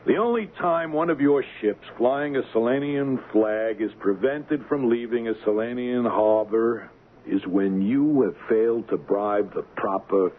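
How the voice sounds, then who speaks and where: An actor playing a bitter, mocking, hard-drinking ship's engineer turned blackmailer in an old-time radio drama. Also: male voice, medium pitch, unhurried, lightly acted. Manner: mocking, theatrical, taunting. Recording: lo-fi, noisy radio drama recording.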